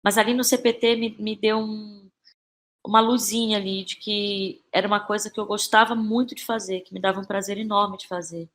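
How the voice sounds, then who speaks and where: A woman's voice: mid-range voice, fairly even intonation, a little fast, fairly bright tone. A Brazilian woman answering as a podcast guest in a conversational, informal and reflective way, often seeking agreement with tag questions. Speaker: podcast guest, actress and playwright.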